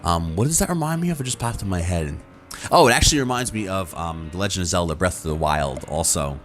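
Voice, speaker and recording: A man hosting a gaming channel, talking casually and off the cuff in a rambling, halting way with repeated words. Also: deep voice, lively intonation, soft background music. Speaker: YouTube gaming channel host. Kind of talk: casual, unscripted chat to viewers.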